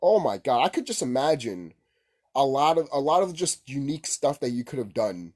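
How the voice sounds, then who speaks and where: A man's voice: medium pitch, big pitch swings, moderate pace, darkish tone. A man giving a casual, unscripted opinion rant as a gaming commentator, blunt and repetitive with heavy swearing.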